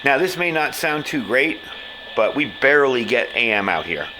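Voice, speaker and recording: A man's voice: mid-range voice, big pitch swings, normal speed. A man giving a casual, conversational hands-on product review indoors. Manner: conversational, informal. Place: indoors, small room at a tabletop. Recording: clear background room noise.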